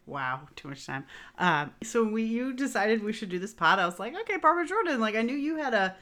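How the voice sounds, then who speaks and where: A woman co-hosting a podcast, chatting casually and getting animated when she quotes people. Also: a little high, a little fast. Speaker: podcast co-host.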